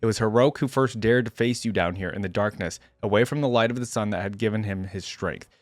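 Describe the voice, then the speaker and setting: A man's voice: fairly low pitch, no echo, clean studio sound, pitched below the performer's own voice, lightly acted. Man reading as a weary, grieving desert warrior. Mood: brooding, resolute. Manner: solemn, measured, archaic storybook phrasing. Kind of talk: dramatic first-person story reading.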